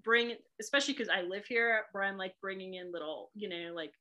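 A woman's voice: medium pitch, a little fast, pushed and bright. A woman from New England, a chatty author guest talking casually with frequent laughs and fillers. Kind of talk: casual chat.

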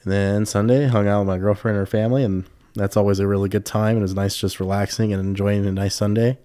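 A man's voice: lowish voice, level tone, quick pace, dark tone, clean tone, no room sound, clean studio sound. A young man with a slightly congested voice, hosting a solo podcast in a loose, candid, rambling way with plenty of fillers and the odd swear word. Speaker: podcast host. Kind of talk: casual solo podcast chat.

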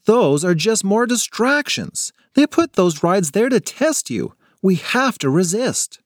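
A man voicing an eager, competitive young kid in an audiobook, earnest and excitable. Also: high-pitched, a little slow, dry recording, clean studio sound, voice pushed up.

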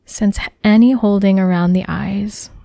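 A woman guiding a body scan meditation in a soft, soothing, unhurried way, with breath at the ends of phrases. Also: medium pitch, fairly even intonation, gravelly, no room sound. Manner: soothing, unhurried, gently instructing. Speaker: meditation guide.

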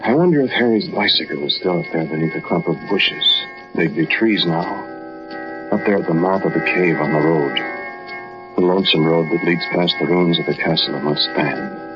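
An actor playing a haunted man who narrates an eerie tale in a 1940s radio drama, with slow, dramatic, deliberate delivery. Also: deep voice, highs cut off, lightly acted. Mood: brooding. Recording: band-limited 1940s radio recording, music behind.

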